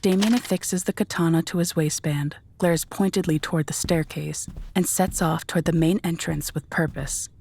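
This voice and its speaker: A woman reading scripted narration for a dark audio drama in a measured, descriptive storytelling manner. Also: lowish voice, fairly even intonation, a little hiss or hum.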